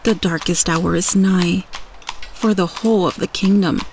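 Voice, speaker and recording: A woman playing a loyal, solemn royal handmaiden in a fantasy audio roleplay, speaking gravely in formal, archaic phrasing. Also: fairly low pitch, steady tone, unhurried, a little mellow, slight background noise. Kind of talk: fantasy ASMR roleplay acting.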